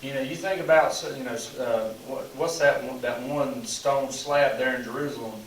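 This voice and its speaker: A hoarse pastor leads a small study group in a loose, meandering way, leaning on "you know" between thoughts. He sits back from the mic, and the room rings around his voice with a faint hum underneath. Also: medium pitch, normal speed, fairly bright tone. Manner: rambling, frequent "you know" fillers. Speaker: pastor leading a Bible study. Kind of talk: casual teaching and group discussion. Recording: echoey room, mic at a distance.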